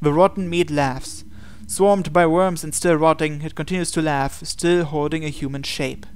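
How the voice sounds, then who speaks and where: A man's voice: a little high, fairly even intonation, moderate pace, clear, resonant tone. A man hosting a game video, reading visual novel text aloud and adding his own asides. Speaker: gaming video host.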